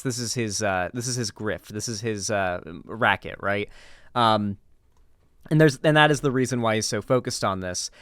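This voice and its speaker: A man's voice: mid-range voice, a bit bright, clear, resonant tone, dry recording, studio quality. A man giving casual, unscripted political commentary in a blunt, emphatic way with casual swearing.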